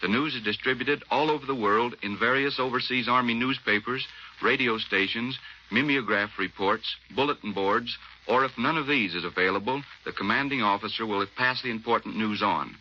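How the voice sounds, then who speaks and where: A man's voice: medium pitch, moderate pace. An American man, an army officer, giving clipped, matter-of-fact scripted answers in a 1940s radio interview. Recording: muffled 1940s radio, faint noise.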